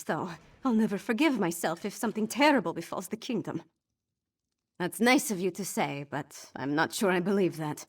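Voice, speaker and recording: A woman's voice: mid-range voice, husky. A young fantasy game princess who has little polish and speaks frankly. She sounds wry and self-doubting, yet earnest and resolute, in a mid-range voice. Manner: earnest, informal, self-deprecating. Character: young princess, wry, rough-edged, grieving and unsure but resolute. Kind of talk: video game voice acting.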